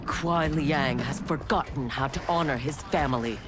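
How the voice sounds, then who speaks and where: A woman's voice: rumbling low, normal speed, heavy background noise. A woman playing an earnest, dutiful and serious warrior in a video game cutscene. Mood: resolute.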